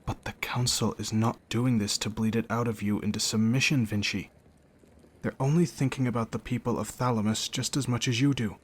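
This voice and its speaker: Guy playing a young bookish knight in a fantasy audio play, raspy voice, earnest and reasonable. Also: medium pitch, steady tone, brisk, close to an everyday voice. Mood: calm reasoning. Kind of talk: fantasy audio drama acting.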